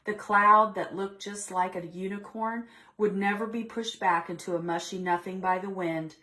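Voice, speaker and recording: A woman's voice: medium pitch, steady tone, normal speed, bright, forceful tone. A woman reads a children's story aloud in a mid-range voice. She tells it expressively, as a librarian would at a preschool storytime, and breaks off to put questions to the young listeners.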